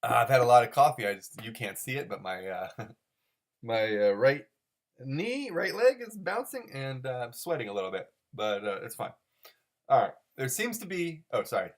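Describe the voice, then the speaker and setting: A man speaks in a medium-pitched voice, giving rambling, unscripted commentary as a solo host. He treats things with mock seriousness, overanalyzing as he goes and repeating phrases to drive them home. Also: sing-song, moderate pace. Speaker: host of a comic-strip commentary show.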